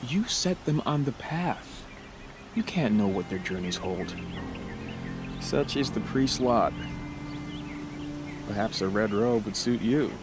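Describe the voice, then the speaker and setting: An actor playing a gentle, earnest sun priest in a video game, with thoughtful, formal speech. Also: male voice, mid-range voice, lively intonation, slow pace, pauses often, background noise. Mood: earnest, reflective.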